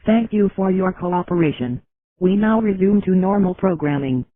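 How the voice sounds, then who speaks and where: A man's voice: high voice, animated, moderate pace, noisy background. A man's synthetic voice giving a formal, scripted government warning as an emergency announcer. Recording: tinny radio-like alert audio.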